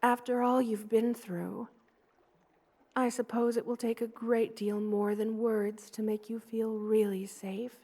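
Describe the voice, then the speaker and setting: A woman voicing a gentle, loving spider queen in an ASMR roleplay, with a tender, soothing and gently formal way of speaking. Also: on the high side, level tone, a little slow. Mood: soft, comforting.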